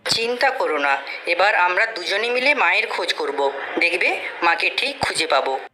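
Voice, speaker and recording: A woman's voice: lowish voice, expressive, normal speed, background noise, natural voice. A woman voicing a young prince, a protective elder brother, earnestly in a cartoon story. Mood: reassuring.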